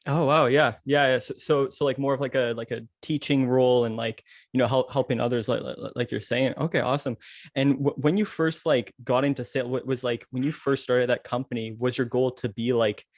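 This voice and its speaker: A man's voice: medium pitch, steady tone, a little fast, no echo. A man hosting a business podcast, asking long rambling questions with repeated words and fillers. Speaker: podcast host.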